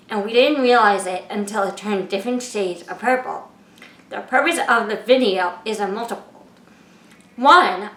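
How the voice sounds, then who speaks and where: A woman in her twenties, an artist, narrating a scripted introduction to her personal video in a measured, read-aloud way. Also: medium pitch, fairly bright tone. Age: 20s. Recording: echoey room.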